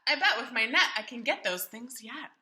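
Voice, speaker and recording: An earnest storybook boy standing up to mischief, played by a woman. The voice is determined and emphatic, high and very bright, in an echoey room. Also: lively intonation, moderate pace.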